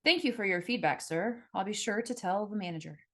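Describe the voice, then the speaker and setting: A woman's voice: mid-range voice, a little fast, close to an everyday voice. A woman plays a female bartender in a fantasy audiobook who stands her ground. She speaks bluntly and directly, always guarded and a little defiant. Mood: guarded, polite. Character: confrontational young female bartender, wary and defiant.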